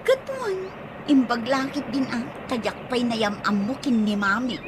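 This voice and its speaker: A woman's voice: a little high, big pitch swings, unhurried. A woman playing a plain-spoken, chiding young girlfriend in a radio comedy drama, with an Ilocano accent. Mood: disgruntled. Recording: noisy audio with bird sounds.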